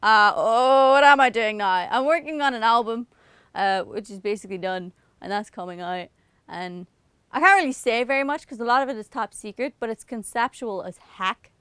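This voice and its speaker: A woman's voice: a little high, moderate pace, a bit bright, clear, resonant tone, no room sound, clean studio sound. A young woman from Ireland with an Irish accent, chatting casually and self-mockingly to camera as she answers questions about herself. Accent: Northern Irish. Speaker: singer answering autocomplete questions.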